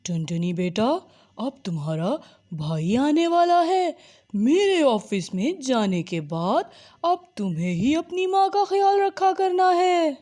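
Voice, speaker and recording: A woman plays an affectionate papa bird from a cartoon moral tale. The delivery is slow and mellow, with swooping intonation and a fond, proud manner. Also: high-pitched, very animated, heavily acted. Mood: tender. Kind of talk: Hindi moral-story cartoon dubbing.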